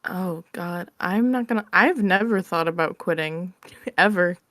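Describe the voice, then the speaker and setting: A woman's voice: lowish voice, a little slow, a bit bright, dry recording, studio quality. A woman chatting casually as an interview guest, informal and self-deprecating. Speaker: interview guest, audio roleplay creator. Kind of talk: casual chat.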